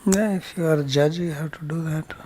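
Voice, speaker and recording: A man's voice: fairly high pitch, a little fast, a little mellow, a little hiss or hum. A man speaking as a spiritual teacher, explaining scripture verse by verse in a plain-spoken way.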